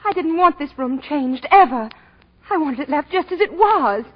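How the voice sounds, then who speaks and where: An actress in a 1940s radio drama playing a cold, jealous, possessive young wife, intense and dramatic. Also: female voice, high-pitched, animated, normal speed. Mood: resentful. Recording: muffled 1940s radio recording, music behind.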